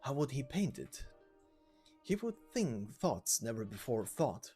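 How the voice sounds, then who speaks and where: Man as old-style game-story bard: dramatic, measured, rhetorical, widely varied pitch over faint music. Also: sing-song, mellow. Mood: solemn, rhetorical. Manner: rhetorical, measured, archaic phrasing. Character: theatrical old-style storyteller recounting a melancholy tale.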